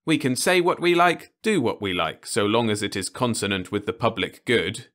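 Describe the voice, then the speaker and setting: A man's voice: fairly low pitch, expressive, normal speed, a bit bright, pitched above the performer's own voice. A man voicing an earnest young man insisting everyone is content, in a storybook reading. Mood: insistent.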